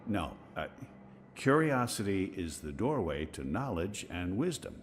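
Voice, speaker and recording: A man's voice: low-pitched, vocal fry, slight background noise, heavily acted. An actor playing a scholarly, curious, dry-witted older man in a video game, musing in a bookish way. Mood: dryly curious. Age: middle-aged. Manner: bookish, musing, exclaiming over finds. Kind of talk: video game dialogue acting.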